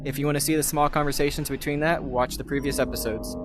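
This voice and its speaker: A man's voice: a little high, steady tone, fast talker, over music. Casual man introducing a video. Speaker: video channel host.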